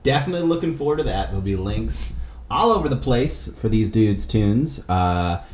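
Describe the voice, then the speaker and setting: Low-voiced man chatting in an echoey room. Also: expressive, moderate pace. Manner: rambling, slangy, heavy use of "like". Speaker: podcast host interviewing a band. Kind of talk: casual chat. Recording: echoey room with faint background noise.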